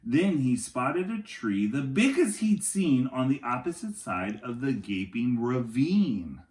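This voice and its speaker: A man reading a picture book aloud to young children like a teacher, in an expressive, dramatic way with chatty asides. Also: medium pitch, slow pace, reverberant.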